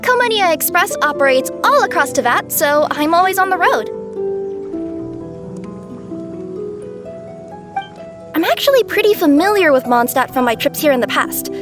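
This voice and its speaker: A woman's voice: very high-pitched, big pitch swings, fairly quick. A woman voicing a young, cheerful, eager courier cat-girl in an anime game, perky and businesslike. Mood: amused. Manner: perky, playfully formal. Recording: music behind voice.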